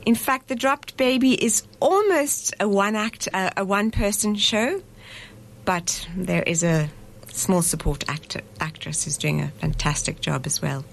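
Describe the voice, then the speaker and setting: A woman's voice: mid-range voice, measured pace, creaky. A middle-aged woman, a festival director, speaking thoughtfully in a radio studio interview, with frequent fillers and restarts. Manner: thoughtful, hesitant with fillers and restarts, laughs easily.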